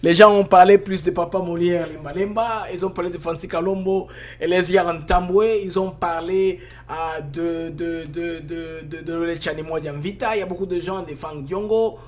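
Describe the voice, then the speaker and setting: Animated man hosting a talk show. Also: fairly high pitch, moderate pace, few pauses. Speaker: host. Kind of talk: political talk show interview.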